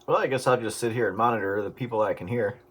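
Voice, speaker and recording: A man's voice: fairly low pitch, fast talker. A man in casual CB radio chat, talking in a folksy way with radio jargon over very noisy, clicking radio audio.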